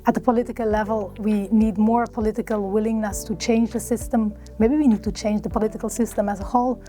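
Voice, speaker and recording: A woman's voice: mid-range voice, fairly even intonation, fast, mellow, soft background music. A woman giving earnest, slightly hesitant interview remarks as a conference participant.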